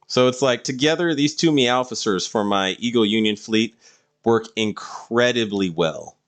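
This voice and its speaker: A man's voice: mid-range voice, moderate pace, a bit bright. A man doing casual live-stream game commentary, explaining things to viewers in a rambling way.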